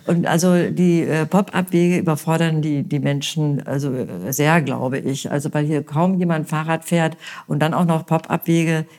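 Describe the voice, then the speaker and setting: A woman from Westphalia answering interview questions as a candidate, earnest and thoughtful, often pausing with fillers and restarts. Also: deep voice, steady tone, darkish tone. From Westphalia, Germany. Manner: earnest, hesitant with many fillers. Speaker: mayoral candidate.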